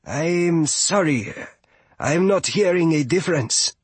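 A polite young woman adventurer from a fantasy storybook, played by a man. The pitch is lifted and the delivery is unhurried. She sounds earnest and apologetic. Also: animated, husky, no echo, full character voice.

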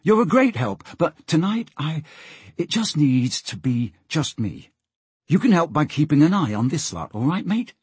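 A man's voice: a little high, sing-song, normal speed, a little mellow, husky, no room sound, voice pushed up, heavily acted. A man voicing a stubborn, secretive young boy who leads the others, firm and curt in a storybook reading. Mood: firm, kindly.

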